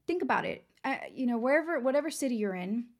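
A young adult woman from Texas hosting a casual motivational podcast, her voice raspy and worn, chatty and upbeat as she talks straight to her listeners. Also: on the high side, expressive, quick pace. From San Antonio, Texas. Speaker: podcast host.

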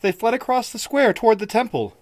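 A man's voice: very high voice, very animated, brisk, no echo, studio quality, pitched above the performer's own voice. A man voicing a frightened young woman. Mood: pleading.

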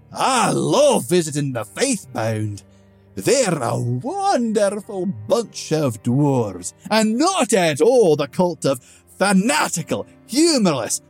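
A loud, high, slurring old dwarf warrior, as a man puts him on. He is bitter and mocking, with his voice leaping all over the place. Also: creaky, dry recording, exaggerated voice. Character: drunk, sarcastic, battered old male dwarf warrior.

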